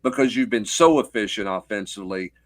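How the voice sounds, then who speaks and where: A man's voice: lowish voice, a little fast, no echo. A middle-aged man giving off-the-cuff sports analysis as a guest, explaining with rhetorical questions and little check-in tags.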